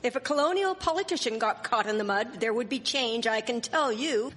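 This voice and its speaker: A woman playing a sharp-tongued, indignant Victorian village wife in a live radio drama, scolding and sarcastic in formal diction. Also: on the high side, brisk, close to an everyday voice. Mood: exasperated. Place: performing arts theatre stage. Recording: echoey hall, live stage performance.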